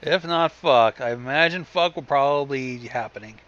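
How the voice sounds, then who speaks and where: A man's voice: mid-range voice, moderate pace, a bit bright. A man giving casual, wry, sarcastic commentary with casual swearing, over a lo-fi mic with faint noise.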